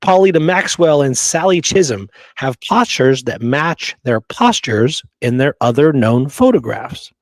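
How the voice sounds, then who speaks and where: A man's voice: medium pitch, sing-song, a little slow, hardly any pauses, no room sound. A man co-hosting a discussion show, reading out quotes and often checking in with "okay?" and "right?". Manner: frequent "you know" and checking "okay?", "right?". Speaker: show co-host.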